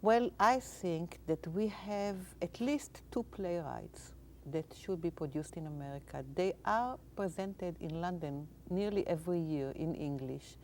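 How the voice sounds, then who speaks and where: A woman from Israel, a theater teacher, speaking as a guest in an interview, measured and sometimes searching for words. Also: on the low side, a little hiss or hum. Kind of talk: interview conversation.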